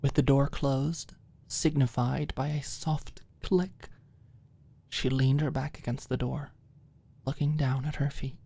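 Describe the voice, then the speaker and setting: Female audiobook narrator for scripted ASMR fiction: low register with gravelly fry, unhurried delivery. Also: fairly even intonation, warm tone.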